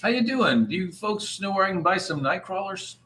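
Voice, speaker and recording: Man playing bright-voiced, affable celebrity fisherman. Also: sits high, animated, a little fast, pitched above the performer's own voice. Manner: casual, affable. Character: easygoing, polite male celebrity fisherman telling dad jokes.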